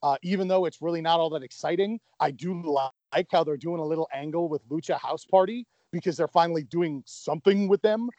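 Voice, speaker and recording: A man with a fairly high voice talks with enthusiasm, stumbling over repeated words as he gets going. The sound is dry and close to the mic. He keeps it casual and conversational. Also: a little fast, few pauses, warm tone, no room sound, lo-fi sound. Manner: enthusiastic, stammering restarts. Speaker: podcast co-host. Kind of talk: casual wrestling chat.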